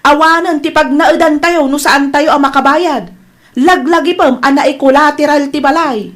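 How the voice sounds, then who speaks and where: Fretful, exasperated businesswoman and wife , woman actor, Ilocano accent, notably high voice, amateur drama style. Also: normal speed, natural voice. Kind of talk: amateur audio drama acting.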